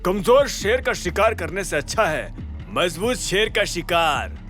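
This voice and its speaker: Guy doing a mocking crime thug for audio drama, drawn-out threats, pitched up, music under it. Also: sing-song, fairly bright tone, full character voice. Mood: smug threat. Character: menacing, gloating male gunman, mocking crime-gang thug. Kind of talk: Hindi audio drama villain.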